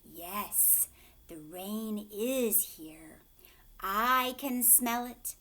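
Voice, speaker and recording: A woman voicing a big male storybook lion with slow, savoring, emphatic delivery. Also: medium pitch, animated, bright, forceful tone, heavily acted. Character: big male lion speaking in a deep purr.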